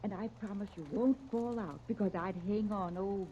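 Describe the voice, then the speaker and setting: A woman's voice: medium pitch, normal speed, mellow, lightly acted. An actress playing a flirtatious, affectionate woman neighbour, coy and cajoling, in 1930s radio serial style. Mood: playful, coaxing. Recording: dull, noisy old radio recording.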